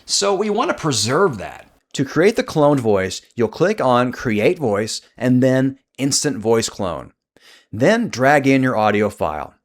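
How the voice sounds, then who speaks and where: A man narrating a scripted software tutorial as its host. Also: medium pitch, sing-song, a little slow.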